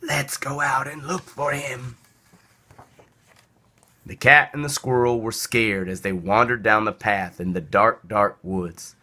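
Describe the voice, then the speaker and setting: A man narrates a children's picture book with a bright, lively voice. He reads slowly and deliberately, with theatrical swings of emphasis for young listeners. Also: mid-range voice. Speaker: storybook reader. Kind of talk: expressive children's read-aloud narration.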